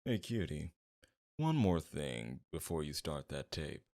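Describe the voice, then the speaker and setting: A man playing a smug, flirtatious and menacing mob boss in an ASMR roleplay, with a suave, teasing delivery and a gravelly voice. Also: very deep voice, sing-song, a little mellow, heavily acted. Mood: menacing flirtation. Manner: teasing, suave, drawn-out flirtation with veiled threats. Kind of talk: ASMR roleplay, scripted character acting.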